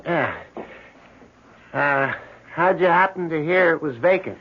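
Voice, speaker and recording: Laconic, muffled building superintendent. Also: male voice, fairly high pitch, expressive, a little slow. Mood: laconic, knowing. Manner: casual, plain-spoken, ominously matter-of-fact. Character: laconic, knowing male apartment building superintendent. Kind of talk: 1970s American radio drama acting. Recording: muffled 1970s radio recording.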